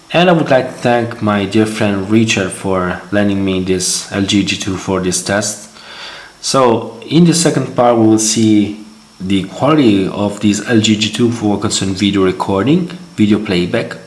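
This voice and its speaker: A man who is not a native English speaker gives an unscripted hands-on gadget review, halting and wordy, often repeating words as he goes. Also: lowish voice. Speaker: tech reviewer, host of a gadget channel. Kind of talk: hands-on product demo, unscripted. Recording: echoey room, faint background noise.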